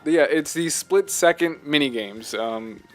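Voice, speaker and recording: A man co-hosting a podcast, chatting casually about games in a halting, rambling way. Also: on the high side, soft background music. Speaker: podcast co-host. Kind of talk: casual chat, video game discussion.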